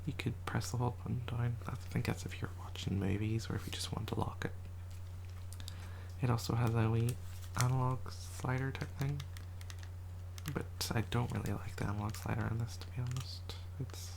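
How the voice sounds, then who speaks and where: An ASMR creator in a quiet house, whispering a soft, rambling show and tell and often correcting themselves, voice a little rough from a cold. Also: medium pitch, steady tone, normal speed, noisy background. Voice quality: whispered, soft-spoken delivery. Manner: rambling, self-correcting, chatty.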